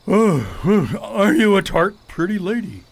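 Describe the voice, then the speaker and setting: A man's voice: high-pitched, very animated, slow pace, no echo, heavily acted. A man playing a pompous, foppish goat king in a radio play, with florid, theatrical, wordy speech. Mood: smitten, cooing.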